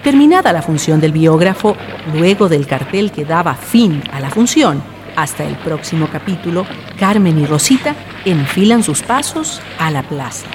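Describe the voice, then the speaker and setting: A woman's voice: low-pitched, sing-song, moderate pace. A woman narrating a radio drama in a scripted storytelling style. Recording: music behind the voice.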